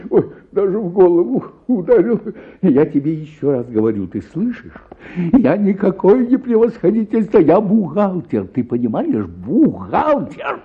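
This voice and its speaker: Male bank clerk in a radio drama, exasperated and stammering, husky high voice, tinny phone-like sound. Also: huge pitch swings, moderate pace, exaggerated voice. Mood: angry, threatening. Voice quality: raspy, creaky, high-pitched. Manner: flustered, stammering, repeating himself, theatrical. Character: exasperated, hysterical male bank accountant at breaking point. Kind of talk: theatrical radio play acting.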